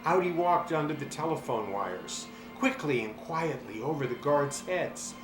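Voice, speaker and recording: Animated man reading a children's story, roomy echo. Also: fairly high pitch, over music. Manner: dramatic, animated delivery with drawn-out words. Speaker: story reader. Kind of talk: expressive children's storybook narration. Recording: roomy echo, clear background noise.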